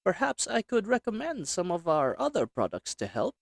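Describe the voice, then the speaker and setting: A man's voice: sits high, wildly swooping pitch, normal speed, creaky, no echo, studio quality, pitched above the performer's own voice, exaggerated voice. A man voicing a polite, meek storekeeper in a roleplay audio drama, courteous and hesitant in manner. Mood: polite, helpful. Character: polite, meek storekeeper, an assassin's flirty disguise.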